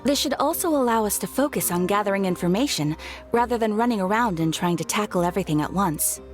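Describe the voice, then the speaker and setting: A woman acts a female guild receptionist in a fantasy game, courteous and composed. The voice sits at a medium pitch, formal and explanatory, with music playing behind it. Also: expressive, fast, natural voice. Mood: businesslike, reassuring. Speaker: receptionist character. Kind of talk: scripted game dialogue.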